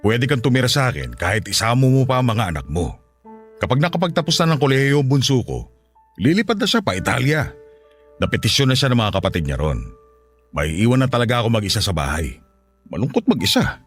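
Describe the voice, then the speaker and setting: An actor playing a lustful, coaxing middle-aged Filipino widower in a Tagalog radio drama. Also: male voice, medium pitch, very animated, fast, faint music behind, natural voice. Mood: smitten, confessing. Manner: suggestive, coaxing, chuckling seducer.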